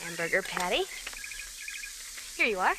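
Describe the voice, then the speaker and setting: An actress playing a cheerful, soothing housewife in a vintage radio commercial, with a teasing manner. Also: female voice, fairly low pitch, big pitch swings, a little slow. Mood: teasing, patient. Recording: noisy old radio recording, sizzling.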